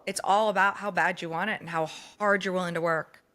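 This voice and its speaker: A woman's voice: lowish voice, steady tone, brisk, fairly bright tone. A woman interviewing in a casual, conversational way, encouraging and affirming her guest.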